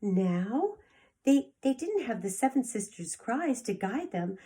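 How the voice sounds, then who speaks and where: Very animated woman reading to preschoolers. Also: a little high, slow pace, breathy edge. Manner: theatrical, with gasps and asides to young listeners. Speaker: preschool teacher reading aloud. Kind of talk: expressive children's picture-book read-aloud.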